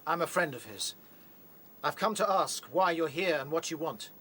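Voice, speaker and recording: An actor playing a brave, reasonable rabbit leader in a radio drama, earnest and decisive. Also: male voice, a little high, moderate pace, bright, forceful tone. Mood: earnest diplomacy. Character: brave, reasonable young male rabbit chief. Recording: faint ambient sound behind voice.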